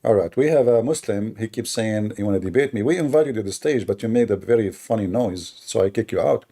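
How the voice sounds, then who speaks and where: Husky low-voiced man hosting a live stream. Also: animated, fast talker, mellow. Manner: chatty, laughs often, repeats words. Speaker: host of live debate stream. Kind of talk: casual live-stream talk.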